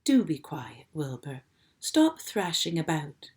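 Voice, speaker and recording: Woman reader as a weary female spider: quiet, low, calm, storybook. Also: slow pace, exaggerated voice. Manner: soft, low, calm and tired. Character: gentle, dying female spider, calm and wise.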